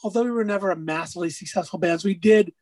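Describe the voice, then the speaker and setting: A man with a raspy speaking voice, a musician guest on an interview, talking casually and rambling through stories with lots of fillers. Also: high-pitched, quick pace, a little mellow, no echo. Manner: rambling storyteller, many fillers.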